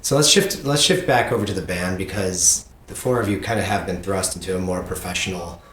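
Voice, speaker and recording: A man's voice: sits low, brisk, fairly bright tone. A middle-aged man hosting a casual conversational interview, speaking in an earnest, reflective way. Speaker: podcast host interviewing own teenage child. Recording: roomy echo, background noise.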